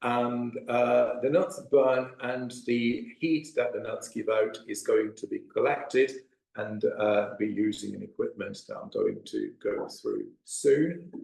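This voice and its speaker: A man's voice: mid-range voice, sing-song, takes it slowly, vocal fry, darkish tone. Echoey hesitant science teacher, man. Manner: hesitant, many ums and uhs. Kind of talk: teacher explaining an experiment. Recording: very echoey room.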